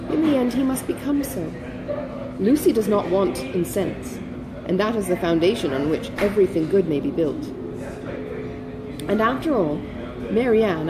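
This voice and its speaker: A woman voicing a self-controlled, dutiful elder sister in an audiobook, earnest, measured and restrained. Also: fairly high pitch, natural voice, rough recording.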